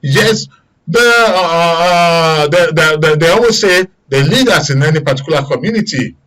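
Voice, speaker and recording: A Nigerian man talks as a panelist in a discussion of public affairs. His pitch is a bit higher than average for a man, and his delivery is conversational. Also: animated, normal speed, fairly bright tone.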